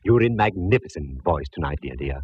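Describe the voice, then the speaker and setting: An actor playing an effusive, theatrical gentleman in a 1940s radio drama. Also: rumbling low, animated, brisk, breathy edge, exaggerated voice. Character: effusive, theatrical gentleman friend full of flattery. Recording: dull vintage radio recording.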